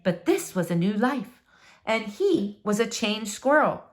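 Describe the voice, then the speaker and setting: Woman, expressive children's book read-aloud, slightly high pitch, very varied intonation. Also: unhurried. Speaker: reader of a children's novel.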